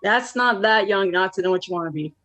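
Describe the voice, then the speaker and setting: A woman's voice: mid-range voice, fairly quick, a bit bright, close to an everyday voice. A woman acting a blunt, lonely forty-nine-year-old who probes with wry questions in a naturalistic play. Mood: prodding. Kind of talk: naturalistic one-act play dialogue.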